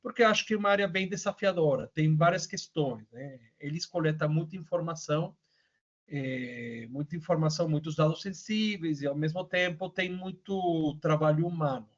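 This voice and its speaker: A man's voice: fairly high pitch, normal speed. Venezuelan-accented male presenter, casual and chatty with lots of fillers, dull lo-fi call audio. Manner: casual, many fillers and tag questions. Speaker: webinar presenter. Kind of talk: online webinar presentation. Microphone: video call. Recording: muffled lo-fi audio.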